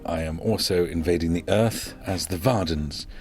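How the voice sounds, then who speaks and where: A writer speaking in a behind-the-scenes interview, eager and full of restarts. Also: male voice, deep voice, moderate pace, a little mellow. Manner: enthusiastic, stammering restarts.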